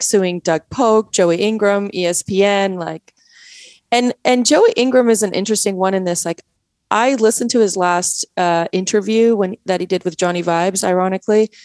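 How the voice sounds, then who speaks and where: Guest: woman, 40s, Canadian accent, rambling chatty delivery, mid pitch, dry close recording. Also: darkish tone, dry recording. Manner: chatty, many fillers and restarts. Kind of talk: casual interview talk.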